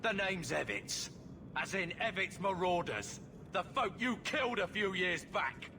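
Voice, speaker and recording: An actor playing a vengeful, threatening bandit marauder in a fantasy game. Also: male voice, high-pitched, lively intonation, a little slow. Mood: menacing. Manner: threatening, declaiming. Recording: clear background noise.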